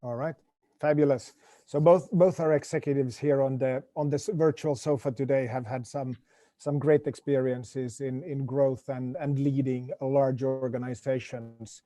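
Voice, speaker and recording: A man's voice: medium pitch, fairly even intonation, measured pace, warm tone, no echo. A man moderating a panel on a video call, friendly, often repeating words as he goes.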